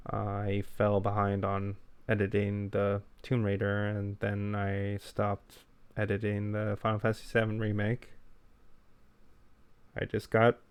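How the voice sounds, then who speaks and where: A man talks in a low, fairly flat voice with a smooth, clear tone. He sounds low-key and self-effacing, like a co-host in a relaxed podcast conversation. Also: measured pace, dry recording. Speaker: podcast co-host who makes gaming videos. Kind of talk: casual podcast chat.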